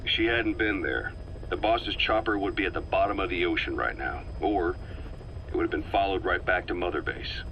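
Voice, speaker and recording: An actor playing a shrewd, calm, calculating military veteran in a video game, measured and self-assured. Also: male voice, deep voice, low-quality recording. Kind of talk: dramatic game voice acting. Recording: faint background noise.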